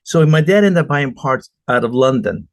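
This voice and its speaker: A man's voice: medium pitch, expressive, normal speed, dry recording, clean studio sound. A middle-aged man from Argentina, a guest entrepreneur telling earnest stories in an interview. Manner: earnest, storytelling, emphatic. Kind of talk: conversational interview answers.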